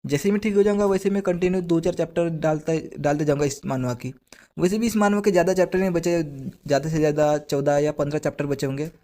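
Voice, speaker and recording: A hoarse man retelling a comic story in a rapid, chatty way, dropping in English words. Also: on the high side, mellow. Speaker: manhwa recap narrator. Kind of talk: casual storytelling recap.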